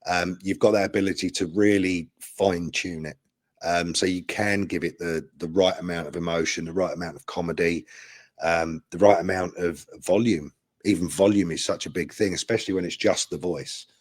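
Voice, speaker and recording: A man's voice: low-pitched, steady tone, normal speed, no echo, clean studio sound. A man who works as an actor, answering interview questions casually in a thoughtful, explanatory way. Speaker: actor with a theatre background.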